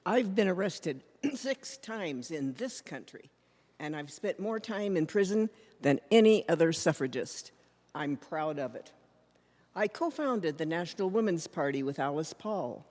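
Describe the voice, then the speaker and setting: A woman performs as a proud female suffragist making a public stage speech. She is declamatory and deliberate, with a defiant edge. Long reverb from the hall surrounds her voice. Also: fairly low pitch, lively intonation, darkish tone. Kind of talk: readers theater monologue, public speech.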